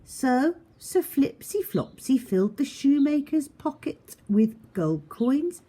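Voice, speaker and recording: A woman reading a children's story as narrator, dramatic and expressive, often dropping into a hushed tone. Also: high voice, slow.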